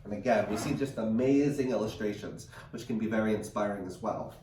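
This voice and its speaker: A man's voice: fairly low pitch, mellow, slight background noise. A man reading a picture book aloud to children, drawing out words dramatically and adding teaching asides. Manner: dramatic, drawn-out word emphasis. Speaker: read-aloud storyteller. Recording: echoey room, distant microphone.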